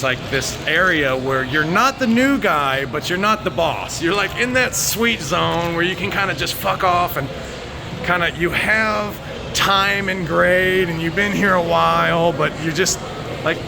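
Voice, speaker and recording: An American man talking casually to viewers, rambling and halting, candid and sweary, into a handheld phone. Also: fairly high pitch, gravelly. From United States. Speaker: vlogger. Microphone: handheld phone at arm's length. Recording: noisy outdoor recording with vehicle sounds.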